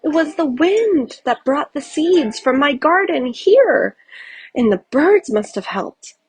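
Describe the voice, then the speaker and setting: A woman voicing a genteel, cheerful aging lady in a children's storybook, in an expressive way full of wonder. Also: high voice, slow pace, pitched above the performer's own voice, exaggerated voice. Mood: amazed delight. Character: genteel, cheerful aging woman who loves travel and flowers.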